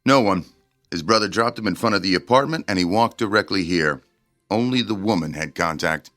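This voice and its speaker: A deep-voiced government agent in a radio drama. He is clipped and coolly formal, quietly threatening, over faint music. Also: brisk. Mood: cool, matter-of-fact.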